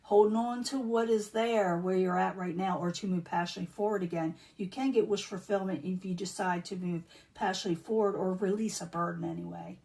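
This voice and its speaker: A woman reading tarot cards in a casual, chatty way, losing her voice and clearing her throat now and then. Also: mid-range voice, darkish tone, distant mic, rough recording. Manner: chatty, repeats herself, many um fillers. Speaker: tarot reader.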